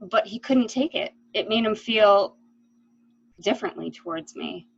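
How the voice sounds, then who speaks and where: An actress plays an anxious woman in an amateur mystery drama. She sounds breathy and rough-edged, pleading with melodramatic earnestness. The recording is somewhat dull. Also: medium pitch, measured pace. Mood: troubled. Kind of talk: amateur radio-play acting.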